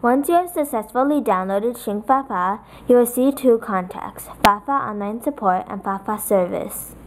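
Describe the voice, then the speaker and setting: A woman presenting a software tutorial, reading from a script as narration. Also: a little high, expressive, moderate pace.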